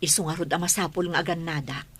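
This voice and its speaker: Woman acting a husky, scheming adulterous mother. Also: low-pitched, fairly even intonation, fast talker, a bit bright. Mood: conniving. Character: scheming, greedy adulterous mother living off a husband's remittances. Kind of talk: radio drama acting.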